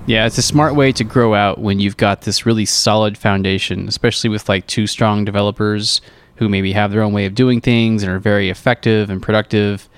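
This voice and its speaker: A man's voice: on the low side, fairly even intonation, fairly quick, clean tone. A man co-hosting a podcast, chatting in an agreeable, affirming way. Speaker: podcast co-host. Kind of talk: casual interview talk.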